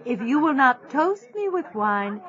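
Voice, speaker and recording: An actress playing a spirited, teasing sailor's wife. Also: female voice, high-pitched, sing-song, measured pace, lo-fi sound, heavily acted. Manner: playful, warm-hearted. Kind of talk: audio drama acting.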